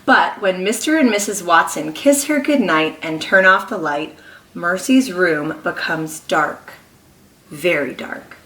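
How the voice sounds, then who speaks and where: A woman kindergarten teacher reading a story aloud to young children, expressive and encouraging, pausing to ask them questions. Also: fairly low pitch, far from the mic. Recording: echoey room.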